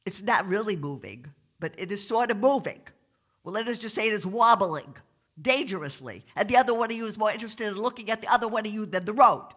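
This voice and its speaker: A man acts out a big, cranky cop from a comic story, with a thick New York accent. The voice is high, forceful and stuffed-up, bullying in every phrase. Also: expressive, quick pace. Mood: stern, confused. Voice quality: congested, stuffed-up nose.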